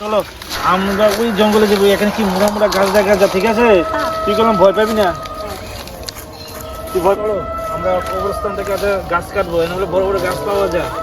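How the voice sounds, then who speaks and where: A man's voice: high voice, level tone, fast talker, heavy background noise, lightly acted. A man voicing a bossy yet reassuring woodcutter uncle in a horror cartoon, giving instructions with questioning tags. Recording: very loud music over the voice.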